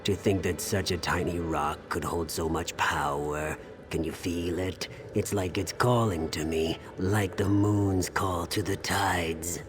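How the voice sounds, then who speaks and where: A man playing a scheming, scholarly courtier in a video game, insinuating and deliberate, coolly fascinated by dark relics. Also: deep voice, steady tone. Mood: awed, insinuating. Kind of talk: dramatic game dialogue. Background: prominent music.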